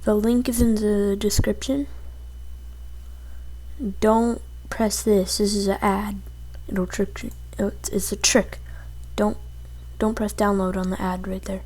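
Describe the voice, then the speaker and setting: Mellow, unhurried woman giving a casual tutorial. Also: medium pitch, flat delivery. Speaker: tutorial presenter. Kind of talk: casual screen-recorded software tutorial.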